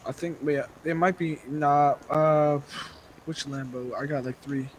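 A man's voice: a little high, fairly even intonation, a little slow, slight background noise, low-quality recording. A man talking casually and profanely in slang while playing an online roleplay game. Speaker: gaming video creator playing online roleplay.

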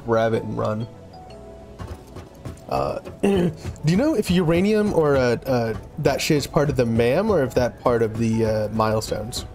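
A man chatting casually with a friend during a co-op building game, relaxed and quick to laugh. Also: mid-range voice, soft background music. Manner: laid-back, laughs often, talks to game objects. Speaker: co-op gameplay player. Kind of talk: casual gaming chat.

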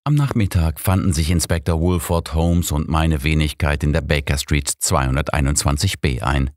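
Deep-voiced audiobook man. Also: normal speed, no room sound, clean studio sound. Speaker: audiobook narrator. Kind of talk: scripted first-person mystery narration.

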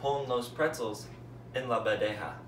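A young man with an American accent gives recipe instructions slowly and deliberately, as a learner of the language. His voice is of medium pitch. The room is echoey, with loud noise behind him. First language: English. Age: young adult. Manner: deliberate, halting learner's Spanish. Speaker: cooking vlog co-host. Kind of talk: rehearsed recipe narration. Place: indoors, home kitchen and dining room. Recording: echoey home kitchen, loud background noise.

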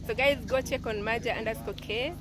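A woman's voice: on the high side, a little fast, background noise. A young woman vlogger chatting casually outdoors with guests, agreeing often in short replies, filmed on a handheld phone. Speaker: vlogger interviewing fellow creators. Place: outdoors on a grassy compound. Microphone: phone held at arm's length.

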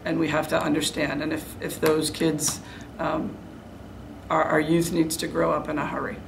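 Gravelly middle-aged woman, frank coach. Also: sits low, little pitch movement, moderate pace, background noise. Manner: frank, self-critical, serious. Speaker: head basketball coach. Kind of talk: postgame interview answers. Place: indoor room before a press backdrop.

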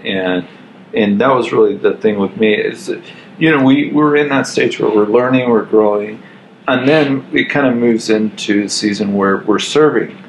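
A man's voice: on the low side, moderate pace, a little hiss or hum, rough recording. A man reflecting in a casual living-room discussion, building points in repeated phrases. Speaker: podcast guest.

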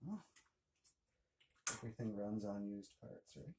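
A man's voice: lowish voice, expressive, a little slow. A middle-aged man with a Canadian accent chatting casually and thinking aloud in a laid-back, colloquial way while he works in a garage. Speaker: DIY mechanic video host. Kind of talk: casual chat while working on a mini bike. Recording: clearly noisy garage recording with clicks.

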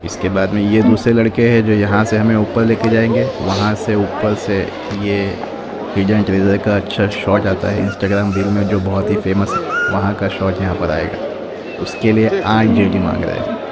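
A man's voice: on the low side, level tone, normal speed, heavy background noise. A man vlogging as he walks, explaining the sights outdoors to his viewers. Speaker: travel vlogger. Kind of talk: casual travel narration. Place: outdoors at a desert rock site.

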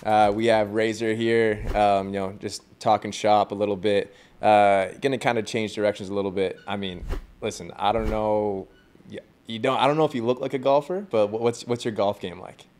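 A man co-hosts a podcast from a studio armchair, laid-back and quick to laugh. His voice sits fairly low. He speaks into a boom mic. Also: fairly even intonation, a little mellow. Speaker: podcast co-host. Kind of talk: casual podcast chat. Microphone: boom mic on a stand.

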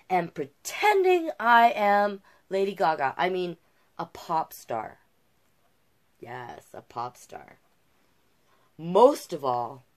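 Slow-paced, low-voiced woman reading storytime. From Canada. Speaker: drag king storytime host. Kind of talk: reading a picture book aloud with asides. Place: small cluttered indoor room.

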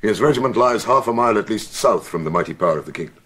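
An actor playing a steady, loyal officer at war in Shakespearean drama, formal and measured, with a husky voice. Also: male voice, fairly low pitch. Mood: dutiful. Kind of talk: classical Shakespearean stage acting.

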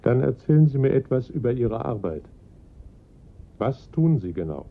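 A composed police inspector in a radio crime play. He has a middle-pitched voice and questions in a formal, measured way. The sound is muffled and lo-fi. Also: big pitch swings, creaky. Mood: businesslike. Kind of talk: German radio crime drama acting.

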